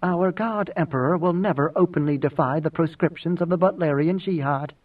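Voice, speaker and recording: A man's voice: on the high side, fairly quick, natural voice. A man voicing a shrewd, composed old woman, a priestess envoy in an audiobook, speaking with formal poise. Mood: soft, poised. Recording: muffled phone-like audio, distant.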